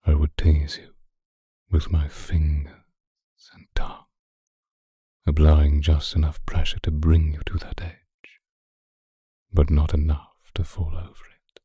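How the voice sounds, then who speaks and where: Man voicing a very deep, husky whispering vampire seducer. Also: monotone, warm tone, no echo, studio quality, exaggerated voice. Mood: teasing, seductive. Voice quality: breathy, husky, often dropping into whisper. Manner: slow, teasing, deliberate. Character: seductive, possessive, obsessive male vampire stalker. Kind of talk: intimate ASMR roleplay monologue addressed to listener. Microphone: close microphone, dry.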